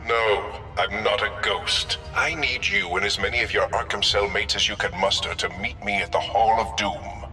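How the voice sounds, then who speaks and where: Arrogant supervillain leader, lowish pitch, pompous, dry, commanding, video game. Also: male voice, lively intonation, moderate pace, gravelly, few pauses, music in the background. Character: arrogant, commanding male criminal mastermind.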